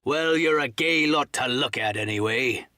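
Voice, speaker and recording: A man voicing a cunning, commanding old pirate sea cook who drawls threats in rough seafaring dialect, as in an audiobook. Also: medium pitch, moderate pace, bright, forceful tone, no echo, studio quality, close to an everyday voice. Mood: roaring defiance. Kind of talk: dramatised audiobook reading.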